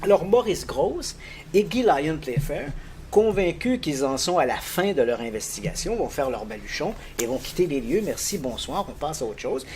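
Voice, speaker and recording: A middle-aged man with a fairly high voice that swings up and down with his excitement. He recounts events as an animated, easy-chuckling storyteller in a conversation, sounding lively rather than formal. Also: moderate pace, slight background noise. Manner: animated, lively storyteller with frequent pitch jumps. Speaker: guest expert on paranormal cases.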